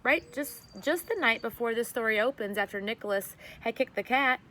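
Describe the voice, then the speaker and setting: Expressive woman reading aloud, noisy background. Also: fairly high pitch, normal speed. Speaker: teacher reading to a class. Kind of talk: expressive read-aloud storytelling to children.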